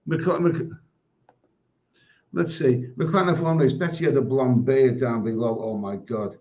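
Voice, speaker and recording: A man's voice: a little high, darkish tone. A man hosting a casual livestream, reading viewer comments in a crude, rambling way and often tripping over his words. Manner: crude, rambling, stumbles and repeats himself. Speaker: live stream host.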